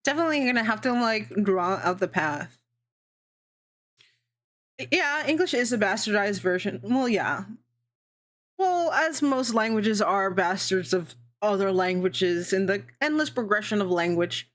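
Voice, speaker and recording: A woman's voice: mid-range voice, expressive, a little slow, fairly bright tone. A young adult woman hosting a casual livestream, chatting in a rambling way, swearing freely and laughing often. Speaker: VTuber streamer hosting a worldbuilding session.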